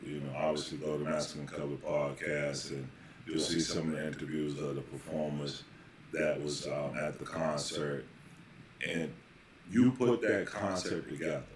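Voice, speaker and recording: A man hosting a casual podcast interview, building long questions in halting, repeated phrases. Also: rumbling low, fairly even intonation. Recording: very echoey room, faint background noise.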